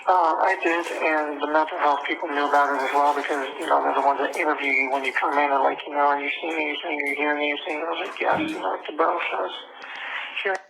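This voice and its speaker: Gravelly man on a noisy jail phone call. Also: on the high side, steady tone, fast talker. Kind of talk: casual private phone conversation. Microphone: telephone handset.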